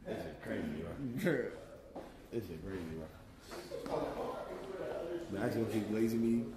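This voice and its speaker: A young man talking in a loose, rambling way, drawn-out and amused, in casual slang. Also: fairly low pitch, vocal fry, a crowd in the background. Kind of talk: casual chat. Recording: echoey room with background noise.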